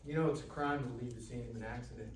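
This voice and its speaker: An actor playing a rough truck driver with falsely polite menace in a live table read. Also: male voice, mid-range voice, steady tone, fast talker, natural voice. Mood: menacing. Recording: echoey room, distant mic, faint noise.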